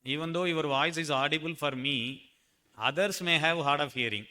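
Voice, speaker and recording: A man's voice: a little high, moderate pace, sharp tone. A man moderates a medical conference panel, firing questions at the panelists and slipping in wry jokes.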